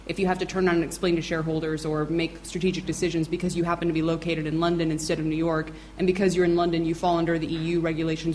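A woman's voice: fairly low pitch, a little mellow, a little hiss or hum. A woman speaking on a conference panel, thinking aloud with hedges and fillers. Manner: thoughtful, hedging with fillers. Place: conference hall with audience.

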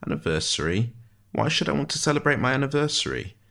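A man's voice: medium pitch, very animated, fast talker, gravelly, bright, forceful tone, pitched above the performer's own voice, full character voice. Man doing a dry, wry, theatrical prisoner. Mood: wry, mocking. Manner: precise, wry, florid. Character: wry, precise, theatrical male prisoner in a dungeon.

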